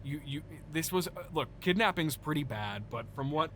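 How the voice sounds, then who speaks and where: A man playing an exasperated, reasonable superhero interrogator in an improvised comedy sketch, deadpan, halting and conversational. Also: a little high, slight background noise. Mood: weary reasoning.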